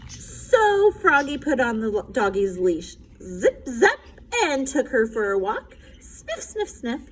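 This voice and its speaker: A woman's voice: fairly high pitch, huge pitch swings, slow. A woman teacher reading a picture book aloud to children, animatedly, stopping to explain things simply. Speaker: special education teacher. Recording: faint background noise.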